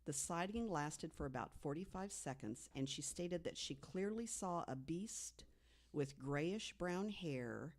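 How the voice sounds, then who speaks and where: Podcast panelist, medium pitch, true-story narration, reading from notes, self-correcting. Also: lively intonation, measured pace. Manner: reads from notes, corrects slips.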